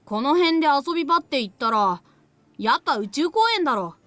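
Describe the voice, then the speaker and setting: Brash, rapid-fire anime boy. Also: high-pitched, animated, fairly bright tone, no echo. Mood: brash, pushy. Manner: blunt, rough-spoken. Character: brash, impatient young boy in an anime game. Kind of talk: Japanese visual novel voice acting.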